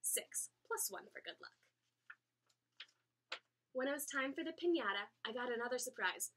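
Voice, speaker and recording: Woman storyteller, high bright voice, animated read-aloud, children's book narration. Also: moderate pace, slight background noise. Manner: animated, acts out dialogue.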